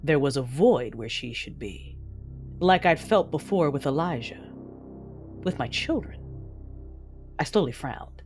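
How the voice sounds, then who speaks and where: A woman's voice: deep voice, animated, unhurried, long pauses, darkish tone. A menacing Sith lord woman who tells her own story in a sci-fi audio drama. She is cold, brooding and vengeful. Music plays faintly under her voice. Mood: uneasy, searching. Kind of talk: first-person audio drama narration and dialogue.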